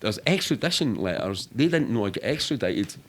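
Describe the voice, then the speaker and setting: Man, low-pitched voice, middle-aged, Glaswegian accent, casual storyteller, candid, rambling, sweary. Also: very animated, a little fast. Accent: Glaswegian Scottish. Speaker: podcast guest.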